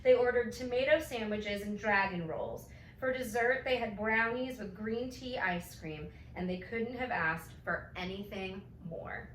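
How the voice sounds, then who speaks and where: A woman reading a children's bedtime story aloud with expressive storytelling delivery. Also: medium pitch, a little slow, hardly any pauses. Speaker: storybook reader. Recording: roomy, distant mic, faint noise.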